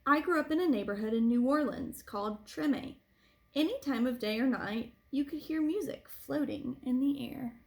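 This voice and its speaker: A woman reading a picture book aloud to children like a teacher, with animated phrasing and deliberate emphasis. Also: sits high, vocal fry. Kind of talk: expressive read-aloud storytelling for children.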